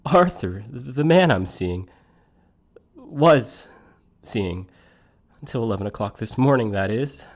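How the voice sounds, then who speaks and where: Flustered, catty jilted man, swooping in pitch, acted. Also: lively intonation, a little slow, pauses often. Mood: hurt, incredulous. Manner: rambling, incredulous, campy complaining. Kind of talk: theatrical comic monologue.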